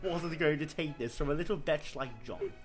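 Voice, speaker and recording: A man's voice: on the high side, moderate pace, over loud music. A man narrating a comedic story reading in a theatrical, mock-dramatic manner. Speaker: fan fiction reader.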